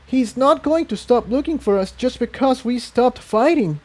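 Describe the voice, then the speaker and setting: Man voicing an earnest high-pitched young female pony. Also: animated, moderate pace, a little mellow. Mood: hesitant, earnest.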